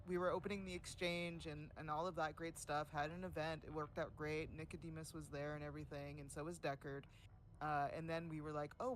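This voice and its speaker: A man recalls community events in loose, casual livestream chat. His voice sits fairly high, and he laughs easily and often between his ums. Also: slight background noise. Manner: chatty, laughs often, many fillers; says he has a louder voice than most.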